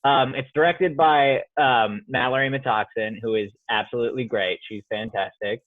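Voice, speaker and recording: A man's voice: mid-range voice, steady tone, moderate pace, no room sound. A young man and student playwright from Iowa, talking about his work on a remote interview call, rambling and often restarting his thoughts. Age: young adult. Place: home studio apartment. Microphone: phone or video call. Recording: dry tinny phone line.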